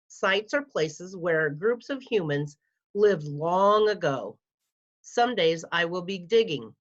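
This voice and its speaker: A woman's voice: medium pitch, fairly bright tone. A woman reading a scripted article aloud for children, with careful, enunciated classroom delivery. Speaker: school media specialist reading an article aloud to pupils. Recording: dull, lo-fi sound.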